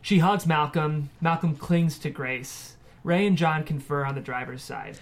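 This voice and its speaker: A man reading scripted screenplay narration and stage directions in a measured way. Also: on the high side, vocal fry.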